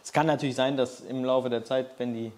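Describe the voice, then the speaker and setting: A man's voice: mid-range voice, fairly even intonation, brisk, darkish tone. A man co-hosting a casual chat, reading out viewer questions and chiming in with short agreeing replies. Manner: reads questions aloud, short agreeing interjections.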